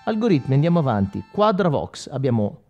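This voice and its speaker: A man's voice: medium pitch, sing-song, brisk, dull audio. A man in his forties demonstrating gear as a guitar instructor, speaking conversationally straight to the viewer and checking in with short questions. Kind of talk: informal tutorial, explaining while demonstrating. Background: electric guitar playing with effects.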